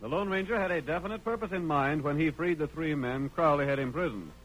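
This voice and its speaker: A man's voice: a little high, a little fast, fairly bright tone, soft background music. A man narrating a scripted western drama in the style of 1940s radio. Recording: noisy vintage radio recording.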